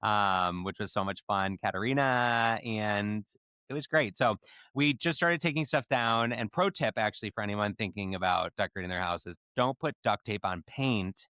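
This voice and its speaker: A man's voice: fairly low pitch, moderate pace, sharp tone, clean tone, dry recording. A man telling stories in casual podcast chat as one of the hosts. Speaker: podcast host.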